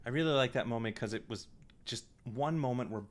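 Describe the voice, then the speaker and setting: A man's voice: mid-range voice, brisk. A man giving casual live commentary as a director and stream host, in a chatty way.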